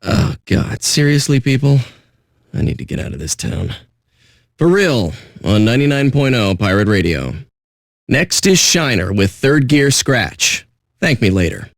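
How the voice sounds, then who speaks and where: An actor playing a surly, sarcastic radio DJ in a video game, with deadpan, contemptuous patter. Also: male voice, medium pitch, a little slow, dry recording, clean studio sound. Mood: sarcastic, irritated.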